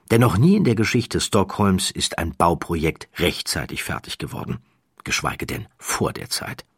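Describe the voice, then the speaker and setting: A man narrating a scripted documentary story in a measured, dramatic way with deliberate pauses. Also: deep voice, fairly bright tone, slightly rough, dry recording, studio quality.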